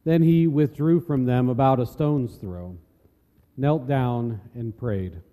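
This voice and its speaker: Very mellow male narrator in echoing hall. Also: mid-range voice, slow. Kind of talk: scripted scripture narration. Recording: echoey hall with long reverb.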